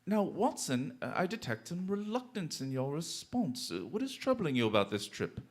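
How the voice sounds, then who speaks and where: A man's voice: on the high side, sing-song, normal speed. Man acting a swooping, urbane British detective. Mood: amused. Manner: urbane, wry, hesitant drawn-in phrasing. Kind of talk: theatrical radio drama acting.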